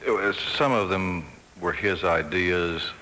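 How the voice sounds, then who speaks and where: A man's voice: low voice, takes it slowly, bright, forceful tone. A middle-aged man reminiscing as a guest in a radio interview, telling it matter-of-factly. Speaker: interview guest, ad agency head. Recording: faintly noisy radio aircheck.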